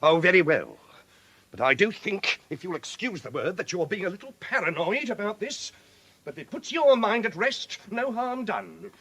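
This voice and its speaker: An actor playing a pompous, easily flustered British official in a comic radio play, formal and theatrical. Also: male voice, high voice, sing-song, moderate pace, sharp tone, breathy edge, full character voice. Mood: reluctant, appalled.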